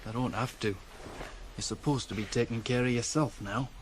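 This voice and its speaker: Blunt young farm lad played by an actor. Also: male voice, medium pitch, normal speed, close to an everyday voice. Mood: quiet, fatherly. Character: nineteen-year-old farm lad, male, teasing, blunt but fatherly. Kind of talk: radio drama acting. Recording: 1980s radio play, faint noise.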